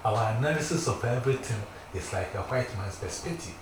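A man's voice: mid-range voice, animated, brisk. A middle-aged man with a Ghanaian accent, a teacher chatting on a talk show and telling emphatic stories. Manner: storytelling, emphatic, acts out quoted exchanges. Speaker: teacher, radio guest. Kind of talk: casual radio chat. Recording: echoey, distant mic, noisy background.

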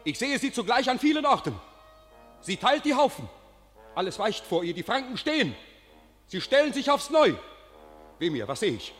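An actor playing a soldier who reports a battle from a tower in urgent, breathless verse in a classic radio play. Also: male voice, very high-pitched, very animated, normal speed, pauses often, fairly bright tone, full character voice. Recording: reverberant studio with faint music.

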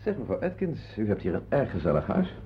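Low-voiced, wordy, grumbling man. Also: wildly swooping pitch, brisk, slight background noise. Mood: polite, appreciative. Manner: wordy, opinionated, hesitant with strangers. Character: Edward Grok, grumbling, self-important husband, confiding in listeners. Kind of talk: radio drama acting with narrated asides. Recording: dull, lo-fi old radio play recording.

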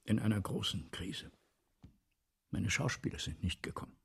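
An actor in a German radio play playing an anguished, fearful French playwright, theatrical and husky, swinging from hushed weariness to declamation. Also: male voice, lowish voice, moderate pace, heavily acted. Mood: agitated, declaiming. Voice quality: husky, hoarse, gravelly.

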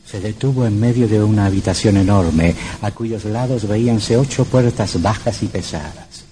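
A man's voice: on the low side, normal speed, dark tone, slight background noise. A man narrating a scripted old radio horror drama in a dramatic, suspenseful manner.

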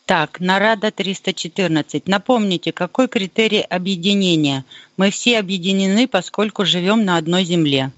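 A woman co-hosting a live radio show who reads listener questions out in a brisk, matter-of-fact way. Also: medium pitch, no room sound, dull audio. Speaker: co-host reading listener questions.

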